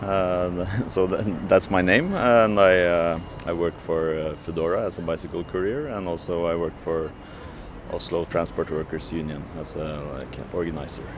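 Young man, very low voice, halting answers full of fillers, city traffic behind. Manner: hesitant, with many fillers. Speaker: interviewee, bicycle courier and union organizer. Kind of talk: casual interview answers. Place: outdoors on a city square. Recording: outdoor street noise. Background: street noise.

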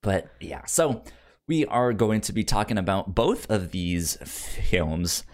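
A man's voice: low-pitched, very animated, slow pace. A man hosting a podcast in casual chat, upbeat and enthusiastic, sometimes stammering over his words. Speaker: podcast host.

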